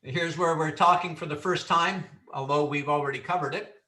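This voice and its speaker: Man, referee instructor, confident, loud, bright, echoey room, distant mic. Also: on the high side, steady tone, normal speed. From Canada. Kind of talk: training lecture.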